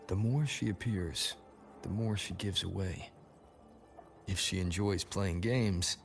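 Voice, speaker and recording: A grave young wanderer, the hero of a video game. His voice is low and his delivery measured and reflective, with soft music underneath. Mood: resolute. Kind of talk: scripted video game voice acting.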